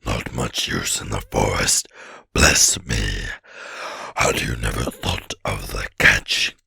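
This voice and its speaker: Wicked old dragon, raspy breathy growl, forceful and tense, storybook villain, gloating drawl. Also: male voice, rumbling low, pitched below the performer's own voice, full character voice. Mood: mocking. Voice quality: gravelly, raspy, menacing. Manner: slow, gloating, sneering drawl. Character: ancient huge boastful male dragon, wicked and wily. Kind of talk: audiobook character voice.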